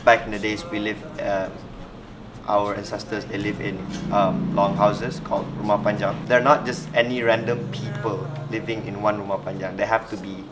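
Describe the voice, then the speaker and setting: Low, flat-voiced young man. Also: moderate pace. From Sarawak, Malaysia. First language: Iban. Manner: thoughtful, hesitant, with frequent restarts. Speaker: interviewee. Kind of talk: reflective personal interview. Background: background music.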